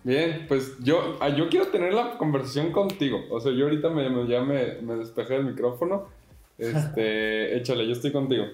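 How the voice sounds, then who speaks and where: A man's voice: mid-range voice, moderate pace, darkish tone, roomy sound. A man in his twenties with a Mexican accent, talking as a podcast guest in a laid-back, slangy way. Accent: Mexican Spanish. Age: 20s. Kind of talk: casual podcast interview.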